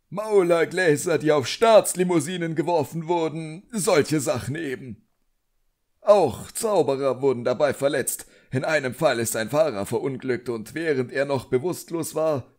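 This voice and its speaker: Man voicing a high-pitched, blustering wizard official. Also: darkish tone, close to an everyday voice. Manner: indignant, pompous, barking. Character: irritable, blustering, contemptuous middle-aged male wizard official.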